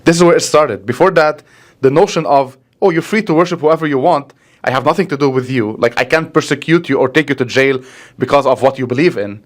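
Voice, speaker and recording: Fast-talking Lebanese-accented priest. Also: male voice, on the high side. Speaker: Orthodox priest. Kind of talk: conversational explaining in an interview.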